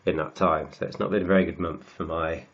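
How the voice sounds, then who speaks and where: A man with a cold, croaky and sniffly, working slowly through textbook maths and often repeating words as he writes. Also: sits low, fairly even intonation, highs cut off. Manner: halting, repeats words while writing. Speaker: maths tutor.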